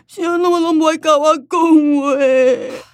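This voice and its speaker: A woman's voice: high-pitched, fairly even intonation, slow, no room sound, clean studio sound. An actress playing a confused old grandmother with a Taiwanese accent, plaintive and pleading, in a radio drama. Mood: tearful. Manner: plaintive, calling out in Taiwanese Hokkien.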